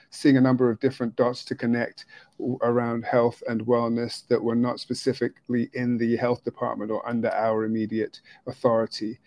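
A middle-aged man from the UK talks through a virtual conference presentation on a webcam. His delivery is flat and measured, full of "uh" and "um" and small restarts. The sound is dry and close, with no room echo. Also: medium pitch. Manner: measured, many fillers and restarts. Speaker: conference speaker. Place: hotel room, indoors. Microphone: video call webcam.

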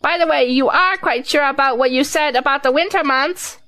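A woman's voice: sits high, normal speed, pushed and bright, dry recording. A woman playing a small, anxious male piglet in a children's storybook audio drama, with a hesitant, worried manner. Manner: hesitant, worried, repeating himself.

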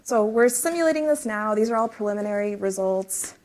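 A woman's voice: medium pitch, expressive, darkish tone. A woman presenting research at a conference talk, explaining step by step with some fillers, then answering audience questions. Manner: explains in measured technical steps with fillers. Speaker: research speaker. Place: lecture room.

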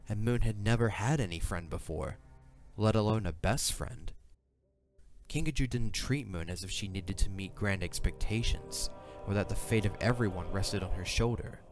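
A man with a deep voice reads dramatic story narration. The delivery is scripted, in the style of an audio drama. Faint music plays behind him.

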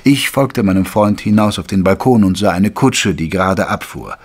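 An actor playing an indignant, curious Victorian gentleman who narrates an audio drama in measured literary German prose. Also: sits low, breathy edge. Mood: measured narrating.

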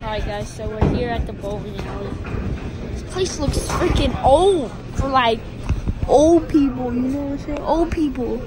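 A man's voice: extremely high pitch, expressive, moderate pace, low-quality recording. A teenage boy vlogging casually on a phone, joking and hyped with slang, in a car and a bowling alley. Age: teens. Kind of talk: casual vlog chat. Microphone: phone held at arm's length.

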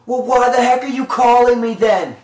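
A man's voice: very high voice, moderate pace, a little mellow. A man in his twenties performing a scripted comedy phone call in a theatrical way, as if quoting song lyrics. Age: 20s. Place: small bedroom indoors. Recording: roomy echo, faint hiss, camera mic.

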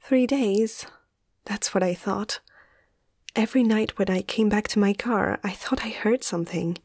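A young woman playing a caring, slightly awestruck vet in a soft-spoken ASMR roleplay, gentle and hesitant, often slipping into a breathy half-whisper. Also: mid-range voice, measured pace, no room sound, clean studio sound. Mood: gentle, focused. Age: young adult.

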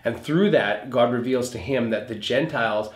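A man's voice: mid-range voice, moderate pace. A man speaking as a pastor, explaining scripture in an earnest, conversational recap of a sermon, repeating points for emphasis. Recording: roomy echo.

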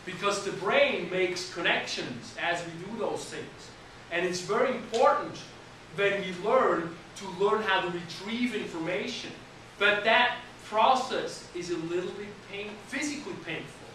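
A man, giving an animated classroom lecture as an anatomy instructor and often restarting his sentences. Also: sits high, unhurried, slight background noise. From Switzerland. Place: college classroom, indoors. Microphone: far camera at the back of the room. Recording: echoey classroom from far off.

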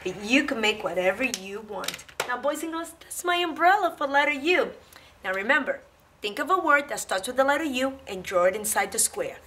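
A woman in her thirties, a preschool teacher reading aloud and talking to young children in a simple, expressive way with questions for them. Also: fairly high pitch, moderate pace. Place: indoor classroom-like room. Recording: roomy echo, distant mic, faint noise.

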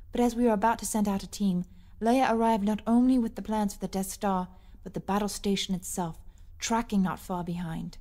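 A woman's voice: medium pitch, dark tone, natural voice. Woman acting a composed, formal rebel commander. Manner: formal, measured. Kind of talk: audio drama acting.

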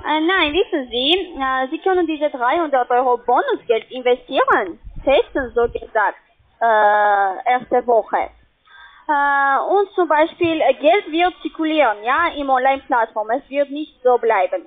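High-voiced foreign-accented woman on phone. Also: lively intonation. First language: not German. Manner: halting, broken grammar. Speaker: telephone sales agent. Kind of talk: phone sales pitch. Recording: tinny, muffled phone line.

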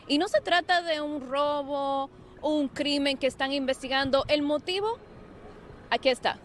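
A young woman field reporter delivers a live report with a clear, energetic on-air cadence. Her voice sits high and bright, and a faint hum of street noise runs behind her. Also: measured pace. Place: outdoors on a city street at night. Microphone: handheld mic.

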